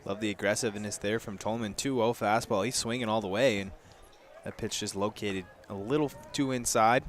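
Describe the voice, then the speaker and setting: A man's voice: lowish voice, fairly even intonation, brisk. An American man working as a color commentator, analysing baseball plays on a live broadcast. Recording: faint outdoor ballpark noise. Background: ballpark ambience.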